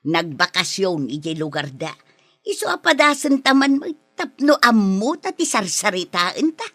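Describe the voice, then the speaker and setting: An actress with an Ilocano accent playing a mischievous village housewife in a radio comedy, coaxing and playful. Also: female voice, mid-range voice, big pitch swings, normal speed, a bit bright, dry recording.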